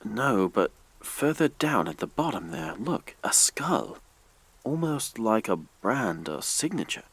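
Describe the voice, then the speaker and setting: An actor playing a sardonic, jumpy grave robber in an audio drama, swinging from muttered asides to startled exclamations. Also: male voice, lowish voice, very animated, takes it slowly, a bit bright, slightly rough, dry recording, full character voice. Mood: curious, wry.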